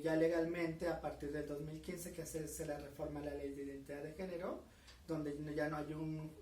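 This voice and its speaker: A woman's voice: deep voice, dark tone, a little hiss or hum. Earnest Mexican woman in her forties. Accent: Mexican Spanish. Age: 40s. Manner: measured, earnest, with frequent fillers. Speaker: interviewee. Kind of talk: recorded interview. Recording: echoey room, mic at a distance.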